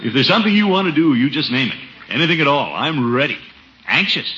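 A pompous, blustering radio-comedy uncle. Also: male voice, on the high side, very animated, fast. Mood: eager, coaxing. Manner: pompous, theatrical. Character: blustering, needy uncle eager to win back his nephew. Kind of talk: 1950s radio comedy acting. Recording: dull, hissy 1950s radio recording.

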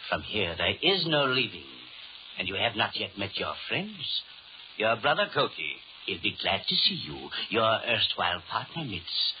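Radio horror Devil: low, sweeping delivery, courtly menace, muffled and noisy old broadcast. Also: male voice, big pitch swings, normal speed. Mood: gloating, grand. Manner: formal, courtly, menacing, theatrical. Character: suave, sinister Devil, mocking and commanding. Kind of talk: 1930s radio horror acting.

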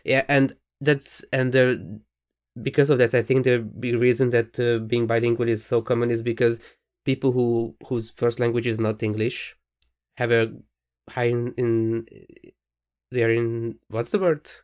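Hungarian-accented male podcast co-host, monotone, thoughtful, searches for words. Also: medium pitch, a little slow. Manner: thoughtful, restarts sentences while searching for words. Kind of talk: casual chat.

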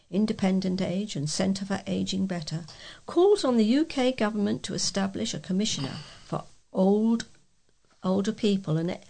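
Female, heavy vocal fry, mid pitch, volunteer newsreader, scripted local news. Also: darkish tone. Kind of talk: read-aloud local news.

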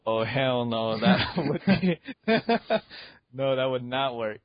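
A man's voice: mid-range voice, slow, no room sound, highs cut off. A man in his twenties co-hosting a podcast in casual, teasing chat. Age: 20s. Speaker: podcast co-host.

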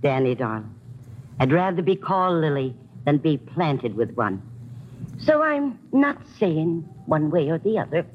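A woman's voice: sits low, big pitch swings, unhurried. A woman playing an eccentric old street flower seller in a radio drama, theatrical, coaxing and saucy. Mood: sly warning. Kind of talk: 1950s radio drama acting. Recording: muffled old radio recording, faint noise.

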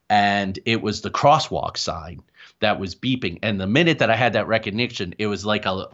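A middle-aged man telling a personal story as an interview guest, haltingly and correcting himself as he goes. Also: lowish voice, fairly bright tone. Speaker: guest, paranormal investigator. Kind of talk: conversational personal anecdote. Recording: dull, lo-fi sound.

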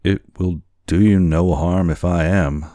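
A man's voice: low voice, fairly even intonation, unhurried, dark tone, dry recording, studio quality, voice pushed up. A man voicing an earnest, resolute and despairing Hawaiian man in a storybook reading, speaking formally and emphatically. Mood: persuasive.

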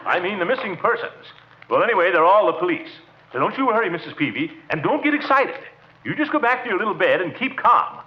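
An actor playing a pompous, excitable middle-aged uncle in a 1940s radio comedy, blustering and fussing with sighs and exclamations. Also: male voice, high voice, fast. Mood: self-important, reassuring. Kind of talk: 1940s radio sitcom acting. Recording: muffled, hissy 1940s radio recording.

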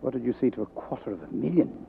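An actor playing a suave, greedy fat crime boss with florid, courteous manners in a 1940s radio drama. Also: male voice, mid-range voice, sing-song, fast, vocal fry, slight background noise. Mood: covetous, calculating. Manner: florid, formal, courteous address. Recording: tinny vintage 1940s radio recording.

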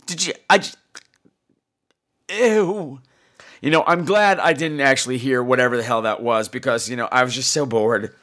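A man's voice: medium pitch, moderate pace, fairly bright tone. A man hosting a casual podcast, theatrical, sarcastic and foul-mouthed, speaking while sick with flu and laryngitis. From New York. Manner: sarcastic, profane, mock-offended. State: ill with flu and laryngitis, on cold medicine. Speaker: podcast host. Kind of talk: casual chat, listener feedback segment.

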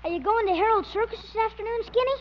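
A woman's voice: extremely high pitch, fast talker, soft background music. A performer voicing an eager, earnest little boy who pleads and bargains, in 1950s radio comedy style. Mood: pleading. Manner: earnest, pleading, boyish exclamations. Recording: muffled, very noisy old radio recording.

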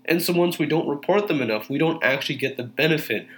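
A man's voice: on the high side, expressive, quick pace. A young man, Urdu-speaking family background, delivering an earnest monologue that urges listeners to act, with frequent fillers and restarts. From Canada.

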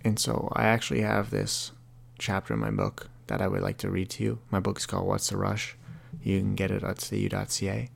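A man's voice: fairly low pitch, a little fast, creaky, slightly rough. A man in his twenties hosting a podcast, talking earnestly and confessionally and reading from his own book. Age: 20s. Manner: earnest, confessional, heavy language. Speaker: podcast host.

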